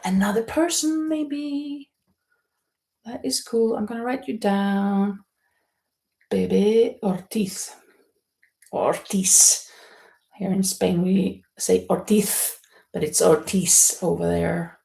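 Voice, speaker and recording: Casting: female livestream host, Norwegian accent, very unhurried delivery, gravelly fry, hesitant chatty style. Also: mid-range voice, mellow. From Norway. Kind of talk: casual chat while knitting, reading out viewer comments. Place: indoors at home in a holiday stay.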